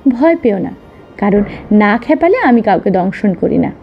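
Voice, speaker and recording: A woman's voice: medium pitch, sing-song, unhurried, vocal fry, highs cut off, faint music behind. A woman voicing a wary but helpful snake in a children's story. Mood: reassuring. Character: wary, helpful snake who distrusts humans.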